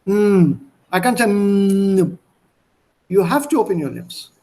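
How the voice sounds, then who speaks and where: A man's voice: high-pitched, expressive, takes it slowly, a little mellow. A man with an Indian accent teaching an online language lesson in a didactic way, often repeating and demonstrating points.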